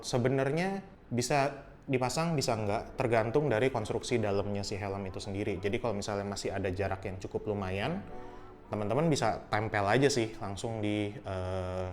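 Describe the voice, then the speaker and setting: A young man casually reviewing a helmet intercom, explaining each step in a relaxed, friendly way. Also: fairly low pitch, slight background noise. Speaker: product reviewer.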